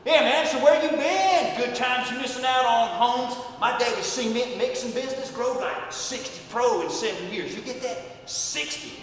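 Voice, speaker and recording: A brash redneck who brags loudly and crudely and keeps breaking into cackles. His voice sits high. It sounds distant, with a long reverb in an echoey space. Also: normal speed, hardly any pauses. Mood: boastful. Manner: loud, crude, back-slapping bragging with cackling laughs. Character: brash boastful Southern redneck businessman.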